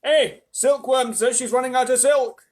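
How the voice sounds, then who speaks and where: A storyteller voicing a bossy, excitable centipede who yells loudly, in a children's storybook. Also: high-pitched, moderate pace, voice pushed up, close to an everyday voice. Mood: yelling, alarmed. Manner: loud, yelling.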